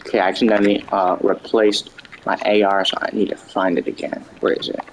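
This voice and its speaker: A man chatting casually with friends while playing a battle royale game, reacting quickly and excitedly. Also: on the low side, slight background noise, rough recording. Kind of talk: casual gameplay chat.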